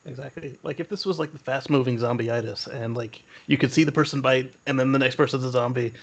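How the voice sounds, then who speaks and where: A man's voice: medium pitch, fairly even intonation, brisk. A man co-hosting a podcast in casual, opinionated discussion, often repeating words as he feels out a point. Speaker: podcast co-host.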